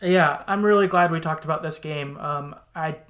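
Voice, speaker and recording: Affirming, halting man hosting a podcast. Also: fairly high pitch, a bit bright. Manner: affirming, halting, repeats words. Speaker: podcast host. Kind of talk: casual interview chat.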